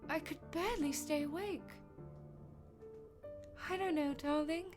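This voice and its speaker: Dreamy victorian young lady for radio play, high and slow, drowsy, music under it. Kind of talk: radio drama acting.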